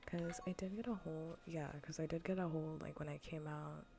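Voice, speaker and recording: Low, creaky, whispery woman streamer. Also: steady tone, moderate pace. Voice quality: soft, breathy, often whispered. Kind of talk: soft-spoken ASMR gaming livestream chat.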